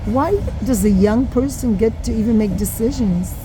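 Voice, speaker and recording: A middle-aged woman, a drama and yoga teacher, giving a frank, opinionated monologue as she records on her phone. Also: moderate pace. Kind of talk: casual monologue vlog. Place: inside a moving train. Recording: train rumble in the background. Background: train and vehicle noise.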